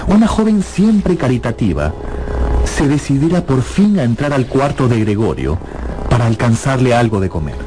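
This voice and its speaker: A man narrating a dramatised radio audiobook in a theatrical, expressive storytelling manner. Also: mid-range voice, normal speed, background noise.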